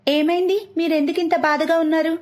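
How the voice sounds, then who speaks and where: A woman's voice: extremely high pitch, level tone, a little fast, darkish tone, pitched above the performer's own voice. A woman voicing a caring, eager young wife and daughter-in-law in a cartoon story. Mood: worried.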